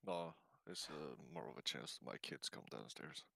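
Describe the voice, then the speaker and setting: Very deep gravelly man, English. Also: normal speed, no room sound, clean studio sound. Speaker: streamer's guest on call. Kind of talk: casual chat. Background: loud game music.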